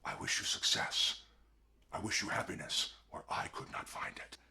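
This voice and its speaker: A man voicing a joyless, mournful underworld god in a breathy, despairing near-whisper for a comic myth retelling. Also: normal speed, bright, forceful tone. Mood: bleak, mournful. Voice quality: breathy, creaky low whisper.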